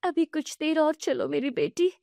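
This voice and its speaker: A woman voicing a gentle widowed young mother who pleads earnestly. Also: sits high, lively intonation, normal speed, gravelly, dry recording, clean studio sound, pitched above the performer's own voice. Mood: pleading.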